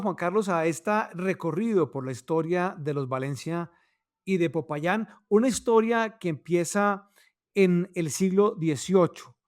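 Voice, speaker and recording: A man's voice: high-pitched, a little mellow. A man co-hosting a podcast, speaking hesitantly with many fillers and restarts. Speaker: podcast co-host. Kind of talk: casual podcast talk.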